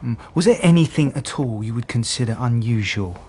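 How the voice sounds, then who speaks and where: An actor playing a hesitant, quietly doubting, understated male police detective in a radio drama. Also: mid-range voice, animated, fairly quick, natural voice. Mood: doubtful, guarded.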